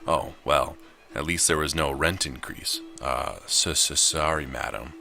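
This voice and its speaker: A man's voice: rumbling low, unhurried, vocal fry, pushed and bright, faint music behind, heavily acted. A man voicing a sarcastic, put-upon young woman in a visual novel, grumbling and wry. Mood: grudging, apologetic. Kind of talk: visual novel read aloud.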